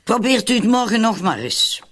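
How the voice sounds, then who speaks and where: A husky, excitable madam, acted by a man in a radio play. The voice swings widely in pitch, with a fretful, exasperated edge. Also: normal speed, exaggerated voice. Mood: brisk polite. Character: anxious, exasperated madam of a private club. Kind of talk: radio drama acting.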